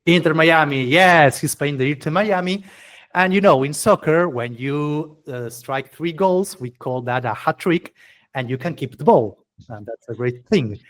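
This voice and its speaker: A man's voice: fairly high pitch, a little slow, fairly bright tone. Enthusiastic man hosting, English speaker. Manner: enthusiastic, chatty, non-native English phrasing. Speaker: meetup host and presenter. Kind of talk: casual, informal event presentation.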